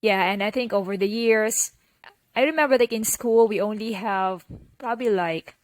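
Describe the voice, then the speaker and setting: A woman's voice: medium pitch, normal speed, no echo. A young woman with a Filipino accent talks easily as a podcast guest, her voice sitting in a middle register. She leans on "like" and "you know" as she goes. The recording is dry and close, with no room sound. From Philippines. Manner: chatty, many "like" and "you know" fillers. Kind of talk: casual interview conversation.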